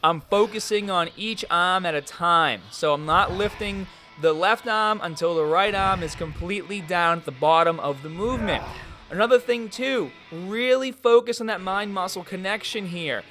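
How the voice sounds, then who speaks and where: A young man giving workout instructions as a fitness coach, energetic and coaching in tone. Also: high voice, moderate pace, soft background music. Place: large gym, indoors.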